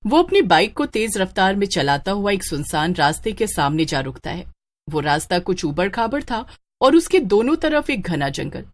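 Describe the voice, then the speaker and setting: A woman narrating a scripted horror story in a dramatic, expressive way. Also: medium pitch, normal speed.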